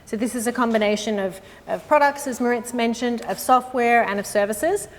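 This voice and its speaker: A woman, a sustainability consultant giving a live industry presentation in a room with an audience, in a friendly, measured way with frequent "um" and "uh". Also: on the high side. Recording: long hall reverb with faint noise.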